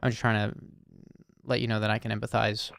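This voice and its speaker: Gravelly, smooth-voiced male host. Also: on the low side, completely flat delivery, fairly quick, dry recording, clean studio sound. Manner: soft, searching, many fillers. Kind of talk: listening call-in conversation.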